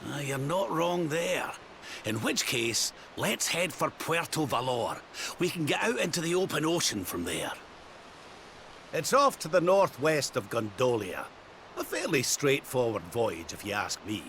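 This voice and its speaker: A man's voice: mid-range voice, very animated, a little slow, a little hiss or hum. An actor playing a genial, crafty old Scottish king travelling as a chatty companion in a video game. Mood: brisk, cheerful. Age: older. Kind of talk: video game cutscene acting. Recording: loud game music behind.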